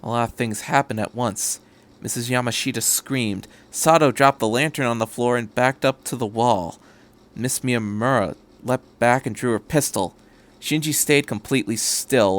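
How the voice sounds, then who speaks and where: Guy reading fan fiction out loud for a podcast, medium-pitched voice, storytelling narration. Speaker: podcast host and story reader.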